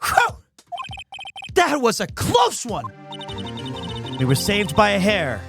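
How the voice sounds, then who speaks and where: A man live streaming a game, chatting casually and excitably with viewers, often repeating his phrases. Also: sits high, slow, creaky. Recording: music faintly behind the voice. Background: faint music.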